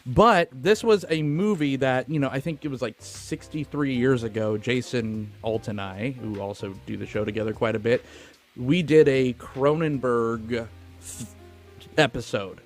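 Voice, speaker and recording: A man's voice: medium pitch, measured pace, faint music behind. A man hosting a film podcast in casual chat, swearing freely and joking crudely.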